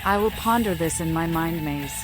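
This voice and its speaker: A woman's voice: low voice, moderate pace, music in the background, close to an everyday voice. A woman voicing a mad, riddling female vampire seer as a game protagonist, oddly formal. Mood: ominous. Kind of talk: fan-voiced video game protagonist.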